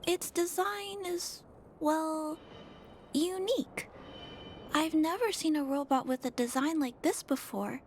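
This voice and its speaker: A woman voicing a gentle, soft-spoken young girl who cares for robots, earnest, polite and a little hesitant, in a video game. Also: high voice, slow pace, a little hiss or hum. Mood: thoughtful, earnest.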